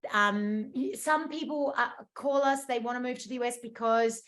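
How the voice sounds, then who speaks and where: A woman in her forties from Australia with an Australian accent, giving a chatty webinar presentation over a video call with lots of fillers and quick restarts. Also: on the high side, a bit bright. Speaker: immigration lawyer presenting at a webinar. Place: indoor room at home or office.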